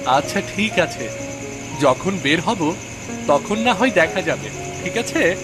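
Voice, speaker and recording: A man's voice: high voice, big pitch swings, slow, music in the background, full character voice. A man voicing a stubborn, eager young man in a Bengali cartoon story. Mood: breezy, stubborn.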